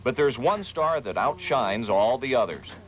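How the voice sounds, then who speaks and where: American TV reporter with lively, swooping delivery. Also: male voice, medium pitch, unhurried, faint music behind, poor audio. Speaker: TV news reporter. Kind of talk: scripted feature narration. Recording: faint background noise, 1980s TV broadcast.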